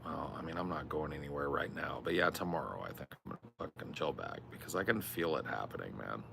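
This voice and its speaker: A man with a strained, raspy voice chatting casually on a stream, rambling and swearing a lot. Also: extremely low pitch, quick pace, fairly bright tone, slight background noise. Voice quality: gravelly. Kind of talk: casual chat.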